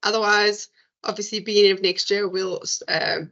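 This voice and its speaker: A woman's voice: medium pitch, little pitch movement, normal speed, fairly bright tone, highs cut off. Chatty woman hosting a video-call seminar. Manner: chatty, thinking aloud with fillers. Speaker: seminar host. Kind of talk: academic discussion and closing remarks.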